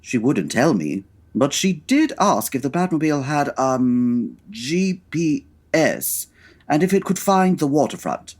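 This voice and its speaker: A man's voice: mid-range voice, very animated, a little slow, darkish tone. A man playing a formal, discreet butler in an audio drama, polite and very proper. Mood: prim. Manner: polite, proper, hesitant over slang.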